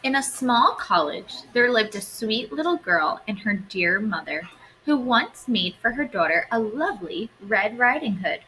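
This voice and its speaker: A woman teacher reading a storybook aloud to students in an expressive, instructive way. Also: fairly high pitch, a little slow, a little hiss or hum, low-quality recording.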